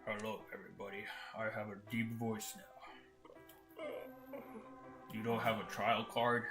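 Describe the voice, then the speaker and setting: A young man commentating his own gameplay live, excitable and laughing often, going from loud disbelief to low mutters. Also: measured pace, over music. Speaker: game streamer.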